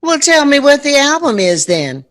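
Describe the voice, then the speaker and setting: A woman's voice: high-pitched, sing-song, moderate pace, a bit bright. An actress playing a playful, world-weary middle-aged mother in a naturalistic audio drama.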